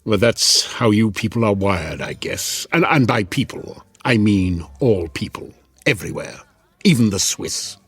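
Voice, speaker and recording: Mocking, husky, swooping devil. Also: male voice, on the low side, lively intonation, a little slow, fairly bright tone, no echo, full character voice. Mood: bitter, mocking. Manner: mocking, theatrical, chatty. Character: sardonic, wheedling male devil, casual and mocking. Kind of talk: audio drama acting.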